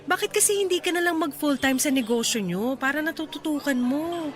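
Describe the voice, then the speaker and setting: A woman with a Filipino accent playing a hardworking, playful young woman in a radio drama, teasing and expressive as she chats. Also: high voice, a little fast, soft background music.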